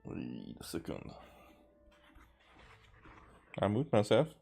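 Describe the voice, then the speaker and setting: A man's voice: low voice, wildly swooping pitch, normal speed, vocal fry, darkish tone, slightly rough, faint music behind. A man with a Hungarian accent giving casual live game commentary in hesitant, broken-up phrases, as an easily amused streamer.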